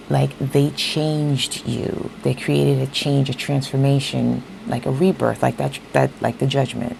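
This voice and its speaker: A woman giving a casual tarot reading straight to her viewers, a little hoarse as she is losing her voice, often checking in with "okay?". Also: very deep voice, mellow, a little hiss or hum, rough recording. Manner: talks straight to viewers, repeats "okay?" and "you know". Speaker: tarot reader.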